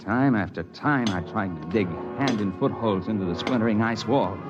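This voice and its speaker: A rugged, level-headed mountaineer acted by a man with a low voice tells his story in old-time radio drama style. He is plainspoken and a little wry, with prominent music behind him. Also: brisk, dull audio, lightly acted. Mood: measured, tense.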